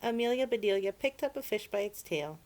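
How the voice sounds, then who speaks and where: A woman's voice: medium pitch, expressive, brisk, a little mellow. A woman reading a picture book expressively for children, with friendly asides to the young listeners. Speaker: children's story-time reader.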